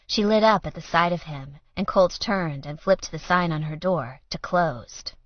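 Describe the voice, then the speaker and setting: Low-voiced woman narrating fiction, dry sound. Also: unhurried, dry recording, highs cut off. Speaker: audiobook narrator.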